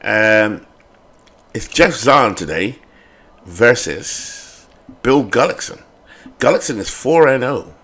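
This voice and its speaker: A man's voice: mid-range voice, animated, slow, pauses often, a bit bright. A British man hosting a baseball sim game channel, chatting casually and rambling with fillers and self-corrections.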